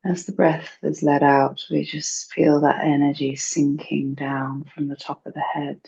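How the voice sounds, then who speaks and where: Female meditation teacher. Soft-toned, husky delivery with some fry, soothing and unhurried. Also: low voice, level tone. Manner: gentle, hushed, unhurried phrasing. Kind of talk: slow, soothing guided meditation. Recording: dull, muffled lo-fi recording.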